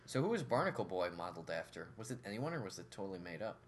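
A young man co-hosting a podcast, chatting casually and cracking quick jokes. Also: sits low, big pitch swings, a little hiss or hum. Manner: jokey, quick quips. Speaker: podcast co-host. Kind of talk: casual chat.

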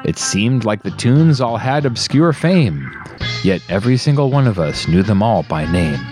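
A man's voice: lowish voice, sing-song, normal speed. A man reading a holiday poem in rhyme with playful, theatrical storytelling. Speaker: DJ on a radio show. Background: plucked guitar music.

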